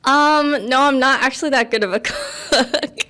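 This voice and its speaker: A woman's voice: sits high, unhurried, pushed and bright. A woman in her twenties from the United States, a podcast guest chatting casually, rambling with many fillers and laughs. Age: 20s. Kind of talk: casual interview chat.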